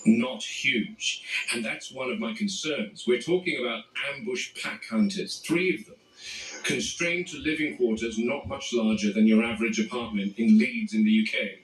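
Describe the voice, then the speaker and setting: A man plays a grave, wary animal handler narrating a found-footage documentary. He speaks in measured, formal phrases at a medium pitch. The sound is echoey and distant, with clear tape-like noise. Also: natural voice. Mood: critical, dry. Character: male game warden and animal expert, grave, wary, exacting.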